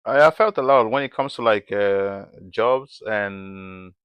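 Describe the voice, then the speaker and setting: A man in conversation as an interview guest, speaking haltingly with repeated words and frequent restarts. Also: on the low side, smooth, no echo, muffled recording.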